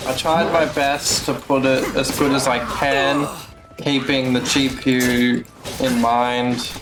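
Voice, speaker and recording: A man giving casual livestream gameplay commentary in a halting way, muttering to himself. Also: medium pitch, flat delivery, background noise. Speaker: live game streamer. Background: game music.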